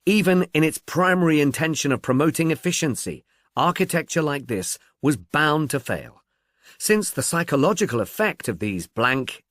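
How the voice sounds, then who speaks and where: Expressive man narrating, very lively pitch. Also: no echo, clean studio sound. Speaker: audiobook narrator. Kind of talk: scripted literary mystery narration.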